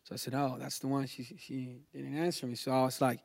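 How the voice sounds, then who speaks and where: A man from Chile, a native Spanish speaker with a Chilean accent, tells a personal religious testimony in a halting, informal way. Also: mid-range voice, level tone, gravelly. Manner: halting, informal, peppers talk with "you know" and "man".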